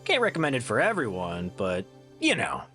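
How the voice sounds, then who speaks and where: A man's voice: mid-range voice, wildly swooping pitch, normal speed, sharp tone, soft background music. A man playing a laid-back, joking reformed troublemaker in an audio drama, with a casual, wry, reassuring manner. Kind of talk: audio drama character acting.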